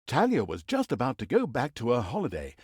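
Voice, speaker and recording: A man's voice: mid-range voice, huge pitch swings, fairly quick, breathy edge, no echo, studio quality. A man playing a mock-grand, sardonic narrator of a villain's exploits in a fantasy video game, telling the story in a hammy theatrical way. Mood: mock-grand, wry.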